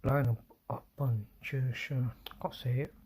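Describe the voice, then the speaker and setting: A man hosting a solo livestream, talking low and often whispering, with restarts and apologies as he reads and comments. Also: fairly even intonation, slow pace, darkish tone, breathy edge. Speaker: livestream host. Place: indoors at home, quiet room. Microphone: phone.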